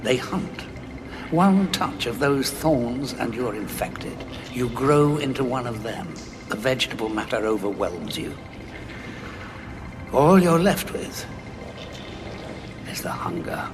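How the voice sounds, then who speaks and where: An actor playing a grim, war-weary Time Lord with a gravelly voice, deliberate and dryly sardonic, in an audio drama. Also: male voice, medium pitch, big pitch swings, background noise. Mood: urgent, wry. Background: background music.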